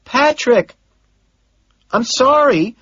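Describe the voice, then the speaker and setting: A soft, breathy young secretary woman, as a male narrator puts her on, pitched way up high above his own voice. She sounds hesitant and apologetic, tripping over her words. The recording is a little muffled. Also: animated, very slow, dry recording, exaggerated voice. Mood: worried. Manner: hesitant, apologetic, repeats herself.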